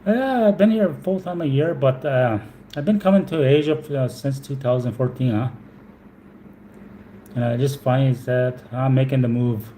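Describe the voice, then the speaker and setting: A man's voice: mid-range voice, normal speed, dark tone, a little hiss or hum, poor audio. A man from Alberta with a Canadian accent, chatting casually on a live stream, answering viewers and repeating his words as he rambles.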